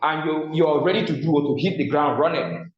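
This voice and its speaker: A man's voice: fairly high pitch, brisk, a little hiss or hum. Nigerian male instructor in an echoey room. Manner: asks the class questions and answers them himself. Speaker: public speaking instructor. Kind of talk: classroom lecture. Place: classroom. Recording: echoey room, slightly dull sound.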